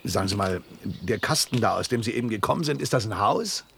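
An actor playing a flustered, chatty astronaut in a 1970s German radio play, stammering in astonishment and talking to himself. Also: male voice, on the low side, expressive, a little fast, gravelly, fairly bright tone, a little hiss or hum. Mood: astonished, flustered. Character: flustered, chatty male astronaut landed on strange planet.

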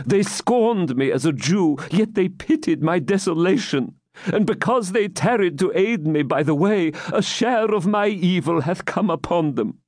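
A frightened old moneylender begs and bargains in a thin, raised voice, played by a man reading aloud. His pleas climb high and waver widely. The sound is dry and close. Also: lively intonation, a little slow, a little mellow, dry recording. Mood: pleading. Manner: timid, pleading. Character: fearful old male moneylender, pleading.

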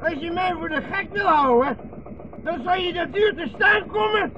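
Hostile tractor driver, very high strained pitch, curt. Old radio play, engine noise. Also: male voice, moderate pace, muffled recording. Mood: hostile, suspicious. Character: hostile, suspicious communist tractor driver, barking threats. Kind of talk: 1960s radio play acting.

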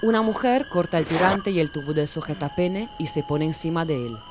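A woman narrates an audiobook in a calm, measured way. Her voice sits somewhat low. Clear background noise runs under the reading.

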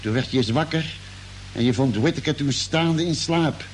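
A noisy old radio drama recording carries a male actor as a calm, caring ship's doctor on a space expedition. His voice sits a little high for a man, and he sets things out in a measured, deliberate way. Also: natural voice. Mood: thoughtful, explaining. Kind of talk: vintage Dutch radio drama acting.

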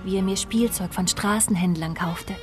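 A woman's voice: mid-range voice, normal speed, natural voice. An actress in a radio drama playing a romantic woman in love, reminiscing in a lyrical, dreamy way. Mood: dreamy, tender. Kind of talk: first-person radio drama narration. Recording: music playing behind the voice.

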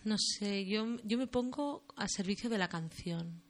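Soft-spoken woman singer, thoughtful. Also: mid-range voice, a little slow. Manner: thoughtful, searching for words, soft. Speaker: singer, interview guest. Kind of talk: relaxed radio interview.

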